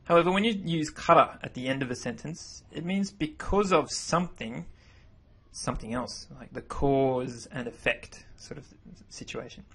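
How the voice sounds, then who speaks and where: A man whose first language is English, explaining grammar over slides in a relaxed voice-over, often repeating himself as he goes. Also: mid-range voice, moderate pace. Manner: relaxed, self-correcting explanations. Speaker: language teacher. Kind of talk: narrated slideshow lesson.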